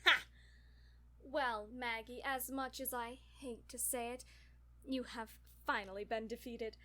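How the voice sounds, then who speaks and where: Bright, high-voiced brash captain played by a woman. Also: steady tone, a little slow. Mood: gloating. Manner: dramatic, gloating, casual cursing. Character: brash, theatrical, playful female spaceship captain. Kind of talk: scripted audio drama acting.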